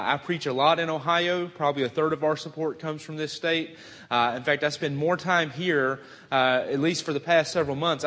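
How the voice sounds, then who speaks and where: Southern preacher in a reverberant church. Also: male voice, on the high side, fairly bright tone. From Virginia, US. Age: 20s. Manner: folksy, frequent "uh" fillers, self-deprecating asides. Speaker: missionary preacher. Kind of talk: sermon introduction. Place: church sanctuary. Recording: long hall reverb.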